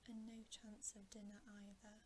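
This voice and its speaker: A woman voicing a suspicious, sullen schoolboy from a storybook in a hushed, grudging whisper. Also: medium pitch, monotone, normal speed, natural voice. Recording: faint background hiss.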